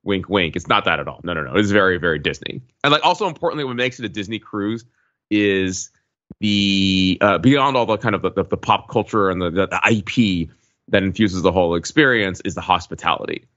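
Man, low voice, halting and digressive, casual podcast chat, slightly dull audio. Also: fairly bright tone. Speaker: podcast co-host.

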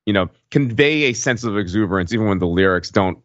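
A man's voice: lowish voice, expressive, quick pace, a bit bright, no echo, lo-fi sound. A man hosting a casual podcast discussion, prodding with questions and adding questioning tags to his sentences. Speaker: podcast host.

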